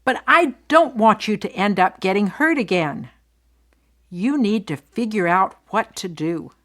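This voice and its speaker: A woman playing a concerned, blunt best friend in soap-opera dialogue for English learners, speaking deliberately and clearly. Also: mid-range voice, animated, a bit bright. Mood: supportive, firm.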